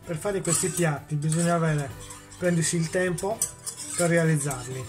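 A man's voice: on the high side, normal speed, faint music behind. A man hosting a cooking demonstration in a home kitchen, explaining each step and answering his own questions. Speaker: cooking show host.

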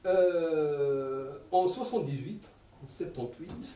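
A man in his sixties with an Arabic accent, giving an animated public talk with many repeated words. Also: on the high side, slow. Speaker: lecturer. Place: lecture room. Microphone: table stand mic. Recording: echoey room, mic at a distance.

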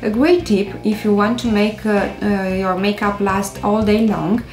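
A woman in her thirties from Romania, speaking English with a Romanian accent, gently hosting a beauty tutorial to camera with frequent hesitations. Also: mid-range voice, steady tone, unhurried, reverberant, faint music behind. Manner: gentle, careful delivery with many hesitations. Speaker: beauty vlogger. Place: indoors by a stone wall.

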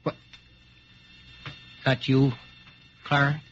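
An actor playing a weary American commander who tells his tale gravely, in 1940s radio drama style. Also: medium pitch, level tone, very slow, a bit bright, soft background music. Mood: weary, defeated. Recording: dull old radio recording.

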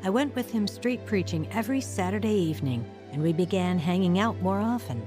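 An earnest born-again woman played by an actress tells her own story in a medium-pitched voice with music behind her. She is sincere and measured, in the style of an audio drama testimony. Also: expressive, dark tone, lightly acted. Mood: reflective. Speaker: lead actress and first-person narrator. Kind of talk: dramatized radio testimony narration.

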